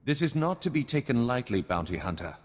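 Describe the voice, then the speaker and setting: An actor playing a composed noble lord in a video game, formal and diplomatic, with measured authority. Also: male voice, mid-range voice, big pitch swings, big-room sound. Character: composed noble house lord, courteous but commanding. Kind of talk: scripted video game drama.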